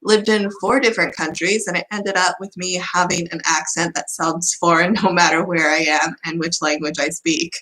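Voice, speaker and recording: A woman's voice: fairly low pitch, fairly even intonation, moderate pace, few pauses, sharp tone, echoey room, poor audio. A young woman, a student vlogger who is starting to lose her voice, ranting in a rambling, frustrated way. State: losing her voice. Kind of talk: casual vlog chat.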